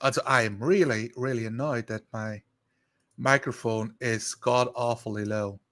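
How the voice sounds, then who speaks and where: A man chatting casually on a live stream, rambling and thinking aloud in a halting way. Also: mid-range voice, steady tone, fairly bright tone.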